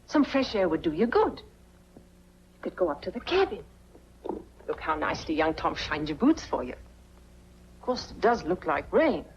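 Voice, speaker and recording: A woman's voice: lowish voice, big pitch swings, unhurried, pauses often. An American actress playing a motherly, fussing household helper in a 1940s film. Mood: coaxing. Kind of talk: 1940s film noir acting. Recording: muffled, noisy 1940s film soundtrack.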